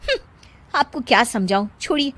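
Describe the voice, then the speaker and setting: Woman acting a high-voiced, touchy young daughter-in-law. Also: big pitch swings, normal speed, fairly bright tone, dry recording. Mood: aggrieved. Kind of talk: cartoon moral story dialogue.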